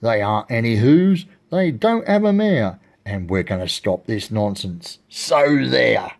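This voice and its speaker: A man voicing a nasty, scornful storybook monkey, shouting in a comic put-on accent. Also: medium pitch, expressive, unhurried, gravelly. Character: nasty jeering gang of jungle monkey brothers.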